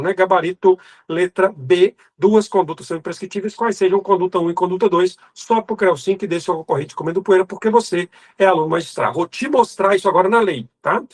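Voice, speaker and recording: A man's voice: high voice, husky. A Brazilian man teaching an exam review lecture, emphatic and didactic, drilling lists by repetition and speaking directly to the student in a colloquial way. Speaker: law exam prep teacher.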